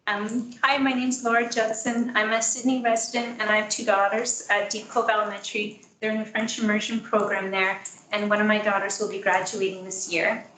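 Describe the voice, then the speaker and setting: Woman, soft voice, earnest parent statement, echoey room, faint hiss. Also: mid-range voice, flat delivery. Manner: earnest, measured, with frequent ums. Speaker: parent speaking at public meeting. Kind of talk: prepared public statement. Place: school board meeting room.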